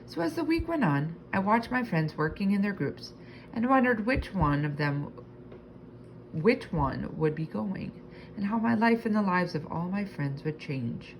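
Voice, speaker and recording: A woman reading a children's book aloud in an expressive narrating style, stretching key words, with faint background noise. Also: mid-range voice, unhurried, low-quality recording. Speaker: storybook reader.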